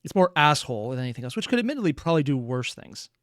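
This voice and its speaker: A man's voice: mid-range voice, sing-song, racing, fairly bright tone, no room sound, studio quality. A man giving casual, analytical reaction commentary, musing aloud as he thinks through what he watches. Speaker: reaction video host.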